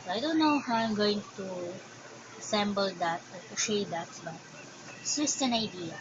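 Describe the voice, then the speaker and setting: A woman's voice: mid-range voice, expressive, moderate pace. A woman with a Filipino accent, Tagalog her first language, casually showing her crafts and thinking aloud in a hesitant way. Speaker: crafting vlogger. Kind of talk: casual show-and-tell. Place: home. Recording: dull lo-fi sound with background noise.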